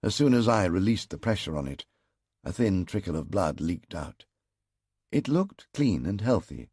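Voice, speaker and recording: Man, very deep voice, husky, lively pitch, grave first-person audiobook narration. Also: dry recording, clean studio sound. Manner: slow, grave, measured storytelling.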